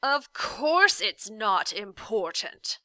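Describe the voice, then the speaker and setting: A woman voicing a villainous faceless male demon of petty tasks for a storybook, sly and menacing, drawing out words and moving between snarls and soft deceitful whispers. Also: medium pitch, sing-song, extremely slow, no room sound, exaggerated voice. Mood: sour, indignant.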